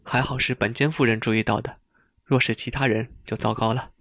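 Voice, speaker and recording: A man acting a polite, earnest gentleman confiding awkwardly in an audio drama, in measured dialogue. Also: mid-range voice, steady tone, dry recording, natural voice. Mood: polite, apologetic.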